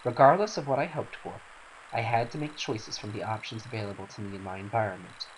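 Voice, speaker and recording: A man's voice: lowish voice, a little fast, close to an everyday voice, rough recording. A man voicing a stern, pragmatic woman in a dramatic game scene, firm and admonishing in manner. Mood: stern, matter-of-fact. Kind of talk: dramatic reading of game cutscene dialogue. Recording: faint hiss.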